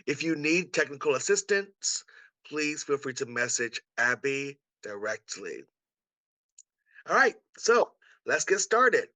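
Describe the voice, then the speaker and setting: A man's voice: fairly high pitch, pushed and bright, dry recording, poor audio. A man co-hosting an online event in an enthusiastic, welcoming manner, giving introductions and asking questions. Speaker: co-host, artistic producer. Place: indoors on a video call.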